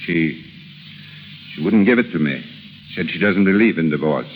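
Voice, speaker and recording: A man's voice: low voice, lively intonation, normal speed. An actor playing an ardent, commanding businessman in his forties, in 1930s radio drama style. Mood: troubled. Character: ardent, commanding forty-six-year-old wealthy businessman in love. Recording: tinny, hissy 1930s radio recording.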